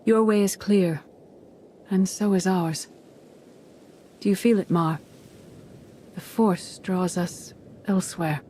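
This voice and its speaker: An actress playing a calm, enigmatic female mystic in a video game, speaking in a measured, solemn, cryptic way. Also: medium pitch, slight background noise, lightly acted. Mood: serene, solemn. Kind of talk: cinematic game voice acting.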